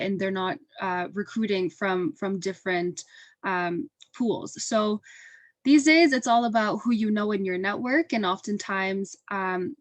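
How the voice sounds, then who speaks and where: A woman's voice: mid-range voice, dry recording. A young woman answering as a panelist in a reflective, conversational and personal way, earnest in her delivery. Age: young adult. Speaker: webinar panelist. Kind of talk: earnest spoken interview answer.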